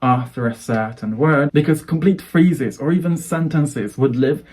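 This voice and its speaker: A man's voice: fairly high pitch, measured pace, a little mellow, slight background noise. Hungarian-accented man coaching English. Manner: earnest, persuasive, builds lists of phrases. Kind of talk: talking-to-camera lesson.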